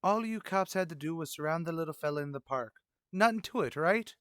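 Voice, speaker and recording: A man's voice: fairly high pitch, animated, brisk, a bit bright, no room sound, clean studio sound. A man playing a naive, folksy shopkeeper in a radio crime drama, with a cheeky, needling manner.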